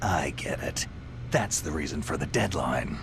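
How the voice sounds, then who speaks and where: An actor playing a cynical, battle-hardened soldier in a video game, gravelly and terse, with a suspicious, sardonic edge. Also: male voice, extremely low pitch, lively intonation, unhurried, noisy background, exaggerated voice.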